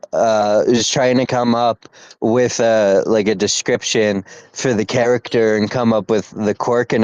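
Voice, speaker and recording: A man's voice: mid-range voice, smooth, no room sound, muffled recording. A man co-hosting a casual podcast chat, talking in a laid-back, rambling way and laughing often. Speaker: podcast co-host.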